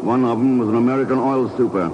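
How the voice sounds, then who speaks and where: An actor playing a cool, wry secret agent in a 1950s radio drama, dry, understated and probing. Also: male voice, mid-range voice, fairly even intonation, quick pace, natural voice. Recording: muffled, noisy vintage radio recording.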